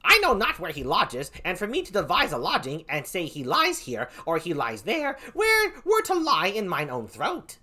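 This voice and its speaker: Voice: mid-range voice, huge pitch swings, moderate pace, few pauses, pushed and bright, exaggerated voice. A reader voicing the clown as a playful, punning male servant in a play read aloud.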